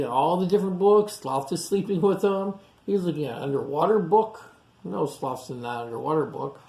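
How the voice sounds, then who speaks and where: A man's voice: on the high side, darkish tone. Echoey storytime man reading to children. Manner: child-directed, simple explanatory asides and questions. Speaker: storytime reader for young children. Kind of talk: read-aloud picture book with asides to children. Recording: echoey room sound.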